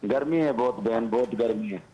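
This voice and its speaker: A man's voice: medium pitch, moderate pace, mellow, highs cut off. A man from Balochistan calling into a TV show, speaking politely and answering with short repeated agreements. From Balochistan, Pakistan. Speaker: phone-in caller. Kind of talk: phone call to a TV show.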